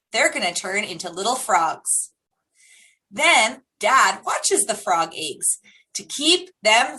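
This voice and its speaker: A woman with a high, very lively voice hosts storytime for young children. She takes her time and stretches key words, using simple, animated phrasing. Her tone is bright throughout. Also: poor audio. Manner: animated, simple child-directed delivery with drawn-out emphasis. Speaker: children's storytime host and teacher.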